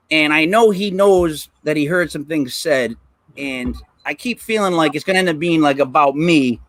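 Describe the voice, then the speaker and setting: A man's voice: on the high side, a bit bright, no echo. A man co-hosting a podcast, chatting casually and telling stories with lots of fillers. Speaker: podcast co-host. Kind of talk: casual chat.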